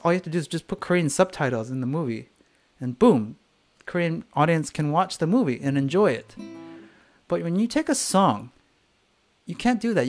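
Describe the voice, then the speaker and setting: A singer-songwriter talking candidly on a live stream, swearing freely and acting out the voices of other people, with faint guitar music behind. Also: male voice, fairly high pitch. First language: English.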